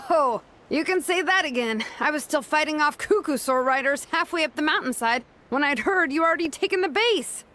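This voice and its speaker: A woman voicing a relaxed, easygoing adult blacksmith in a video game. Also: high voice, a little fast, few pauses.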